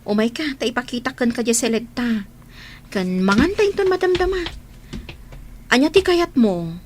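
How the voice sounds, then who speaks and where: A woman's voice: on the high side, lively intonation, normal speed, a little mellow, slight background noise, close to an everyday voice. A woman acting in a Filipino radio drama as a friendly, upbeat domestic helper, with an Ilocano accent. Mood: cheerful, welcoming. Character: welcoming, upbeat veteran domestic helper abroad.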